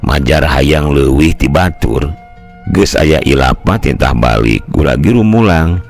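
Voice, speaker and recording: Older sundanese guy telling folk tales, super deep creaky voice, slow, soft music behind. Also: expressive. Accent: Sundanese-speaking West Java. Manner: colloquial, conversational. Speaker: storyteller. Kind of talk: traditional folk tale narration with acted dialogue.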